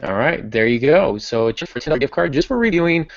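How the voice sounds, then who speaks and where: A man hosting a writing podcast, chatting along loosely and cracking small jokes. His voice is gravelly and mid-pitched. It is recorded in a dry, dead room on a dull, lo-fi mic. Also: animated, fast talker, dry recording. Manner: friendly, rambling with restarts and joking asides. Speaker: podcast host. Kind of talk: casual podcast chat.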